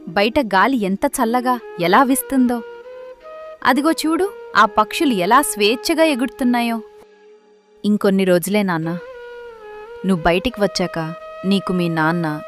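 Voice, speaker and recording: A remorseful young mother-to-be behind bars, voiced by a woman for a storybook tale. She speaks high and soft, pleading and sorrowful. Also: unhurried, long pauses, soft background music, natural voice. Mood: tender. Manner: sorrowful, talks softly to her unborn baby. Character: pregnant young woman jailed, remorseful and tender. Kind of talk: storybook voice acting.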